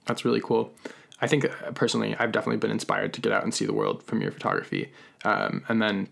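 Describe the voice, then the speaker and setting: A man's voice: fairly low pitch, lively intonation, quick pace, gravelly, a bit bright. A man hosting a casual interview in an encouraging, conversational way. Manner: encouraging, affirming. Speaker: podcast host, interviewer.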